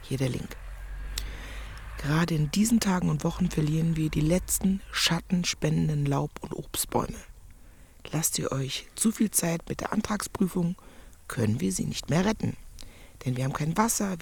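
Creaky-voiced, earnest village woman. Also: deep voice, normal speed, darkish tone, no echo, full character voice. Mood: earnest, formal. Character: outspoken village woman, earnest, indignant campaigner against drought. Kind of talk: naturalistic radio play dialogue.

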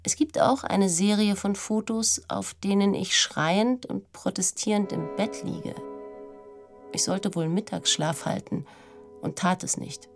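An adult daughter who looks back soberly on a troubled childhood in a literary radio play. Her mid-pitched voice is gravelly with creak and unfolds very slowly and with measure. Faint music lies underneath. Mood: sober, reflective. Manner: measured, sober first-person recollection.

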